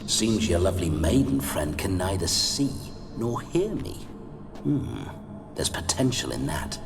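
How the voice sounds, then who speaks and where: A leering, boastful aristocratic ghost in a fantasy game, drenched in long reverb. The florid lines swoop widely and unfold slowly over music. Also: male voice, sits low, very animated, gravelly, full character voice. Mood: sly, leering. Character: roguish male nobleman ghost, lecherous and boastful. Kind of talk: video game acting.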